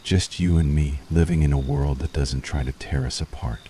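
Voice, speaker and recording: Very deep, brooding witch hunter acted by a man. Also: steady tone, moderate pace, mellow, slight background noise. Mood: yearning, earnest. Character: weary, conflicted male witch hunter yearning for peace, tender toward enemy. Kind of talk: ASMR boyfriend roleplay, intimate scripted monologue.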